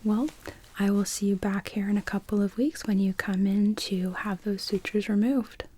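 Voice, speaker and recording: A woman whispering breathily in a gentle, reassuring way, playing a doctor who explains care in an ASMR medical roleplay. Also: medium pitch, level tone, normal speed, slight background noise. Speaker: ASMR performer playing an emergency room doctor.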